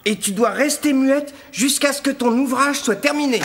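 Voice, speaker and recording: An actor voicing a protective elder prince in an animated fairy tale, calm and serious, explaining things. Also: sits high, normal speed, slight background noise. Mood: concerned.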